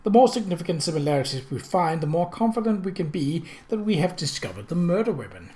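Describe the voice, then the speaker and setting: Guy doing a thoughtful gentleman detective for an audiobook, kinda higher voice, precise and formal, sure of himself. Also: very animated. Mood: explanatory. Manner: measured, formal, methodical. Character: analytical gentleman investigator, thoughtful, precise, self-assured. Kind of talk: audiobook character dialogue.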